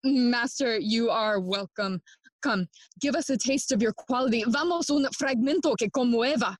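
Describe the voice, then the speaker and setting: A woman's voice: fairly high pitch, no room sound, clean studio sound, lightly acted. A woman playing a brooding, mocking young prince who feigns madness, with theatrical Shakespearean delivery in an audio play. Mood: wry, welcoming. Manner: theatrical, deliberate verse delivery. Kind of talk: Shakespearean audio play acting, bilingual English and Spanish.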